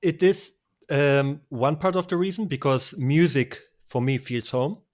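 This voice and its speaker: A man who grew up speaking talks in English as a guest on a travel podcast. He speaks earnestly in simple, slightly off phrasing, and his voice sits a little higher than most men's. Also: measured pace. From born in Poland, grew up in Germany. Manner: earnest, simple phrasing with non-native slips. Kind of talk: casual interview chat.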